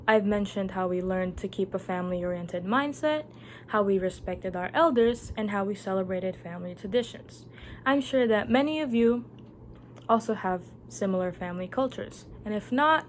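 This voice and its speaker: A young woman from the Philippines, a Tagalog speaker, giving an earnest, measured prepared speech. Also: medium pitch, slight background noise.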